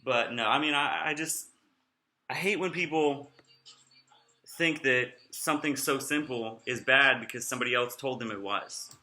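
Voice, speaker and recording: A man's voice: medium pitch, normal speed, sharp tone, reverberant. A young man vlogging in a casual, rambling way, sounding nasal and slurring his words a little. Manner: rambling, off-topic, chatty.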